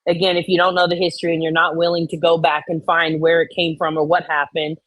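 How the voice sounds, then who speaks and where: A woman's voice: sits low, level tone, quick pace, a bit bright, no room sound, poor audio. A woman co-host giving casual commentary, reading comments out dramatically and reacting bluntly, with exasperation and free swearing.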